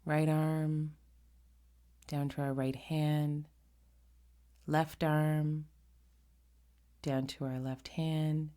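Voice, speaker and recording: A woman with a low, mellow voice guides a meditation. She speaks very slowly and gently, leaving frequent pauses between short phrases. The delivery is soft-edged and unhurried. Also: flat delivery.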